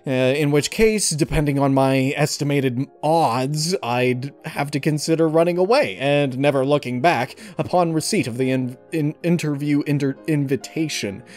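A man giving casual interview answers in a halting, wordy way, often amused. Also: on the high side, darkish tone. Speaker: interviewee, audio story reader. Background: music behind the voice.

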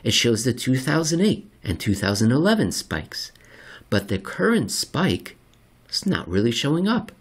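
A man with a slightly husky voice narrates a written article in a deliberate, measured way with dramatic pauses. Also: mid-range voice, sing-song. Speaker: narrator reading an article aloud. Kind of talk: scripted narration of an economics article.

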